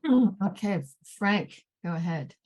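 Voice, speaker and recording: A woman moderating an online panel who is losing her voice, halting and clearing her throat as she speaks. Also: fairly low pitch, lively intonation, darkish tone.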